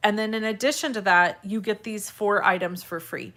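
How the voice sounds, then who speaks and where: Bright-voiced, slightly raspy woman giving craft tutorial. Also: mid-range voice, moderate pace. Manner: friendly direct address to viewers. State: congested, irritated throat from allergies. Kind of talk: casual step-by-step craft demonstration.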